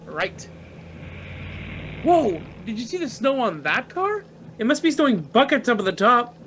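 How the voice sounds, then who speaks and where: An actor playing an eager, carefree young student pilot in a naturalistic radio play, boyish, slangy and enthusiastic. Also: male voice, extremely high pitch, big pitch swings, measured pace, a bit bright, slight background noise, full character voice. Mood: chatty, upbeat. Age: young adult.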